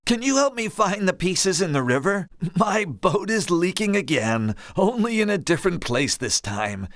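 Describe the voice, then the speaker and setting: A man's voice: high voice, big pitch swings, normal speed, fairly bright tone, dry recording, studio quality. A man playing a polite, troubled angler in a video game, with a pleading manner. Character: polite, troubled male angler with broken boat. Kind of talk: video game character acting.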